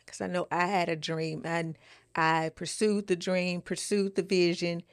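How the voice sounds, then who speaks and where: A woman's voice: lowish voice, little pitch movement, measured pace, smooth, no echo, studio quality. A woman co-hosting a podcast, giving advice in a casual, emphatic way, repeating phrases for stress. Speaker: podcast co-host.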